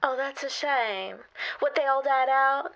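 Chatty small-talk receptionist, familiar and folksy, played by a woman; bright tone. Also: sits high, measured pace, dull audio. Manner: familiar, calls people honey and girl. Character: chatty friendly female receptionist, nosy and folksy. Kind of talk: comedy sketch acting.

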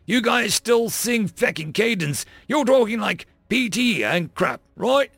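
Man doing a high, slangy young Marine replacement. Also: expressive, creaky, fairly bright tone, husky, no room sound, faint music behind, heavily acted. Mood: incredulous. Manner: slangy, drawn-out griping with bro talk. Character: brash young male replacement Marine, slangy, scornful, incredulous.

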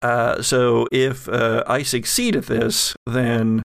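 Gravelly-edged man narrating horror. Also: mid-range voice, little pitch movement, slow pace. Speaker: game master. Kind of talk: narrating a horror tabletop game.

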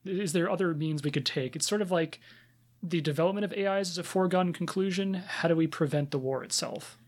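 A man's voice: on the high side, brisk. A man co-hosting a podcast, talking casually as he reviews and discusses shows. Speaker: podcast co-host reviewing anime.

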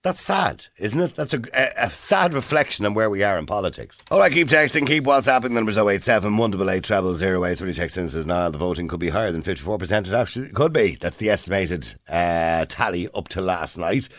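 A man's voice: deep voice, lively intonation, fast talker, few pauses, fairly bright tone, no room sound, studio quality. A man with an Irish accent hosting a radio phone-in, chatty and probing, pushing back on callers and correcting himself as he goes. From Ireland. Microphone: studio microphone.

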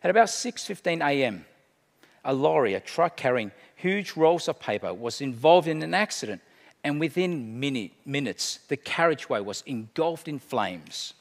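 A middle-aged man preaching a sermon, emphatic, swinging between hushed and loud delivery. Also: on the high side, lively intonation, normal speed. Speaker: pastor. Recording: long hall reverb.

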